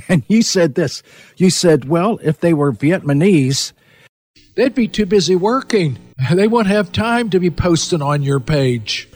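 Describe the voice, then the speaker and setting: A man's voice: sits high, expressive, unhurried, a little mellow, dry recording. A man hosting a casual interview, chatty and chuckling as he introduces and questions his guest. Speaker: host.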